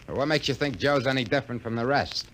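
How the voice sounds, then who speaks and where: A man's voice: medium pitch, a bit bright, close to an everyday voice. An actor playing a breezy, slangy salesman in a 1950s radio drama. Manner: breezy, slangy, fast-talking. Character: fast-talking salesman and repairman. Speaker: logic salesman. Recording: old radio recording with faint noise.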